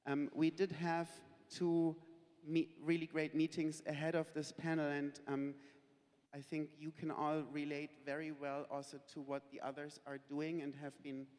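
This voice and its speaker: A man moderating a discussion panel, speaking loudly in halting phrases that he often restarts. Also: fairly high pitch, level tone. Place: conference hall. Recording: reverberant conference hall.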